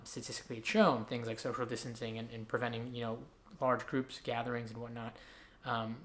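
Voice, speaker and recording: A man's voice: medium pitch, a bit bright, slight background noise. A man who creates online content, sitting down to talk earnestly to his viewers in a rambling way, with many fillers and restarts. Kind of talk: sit-down address to camera.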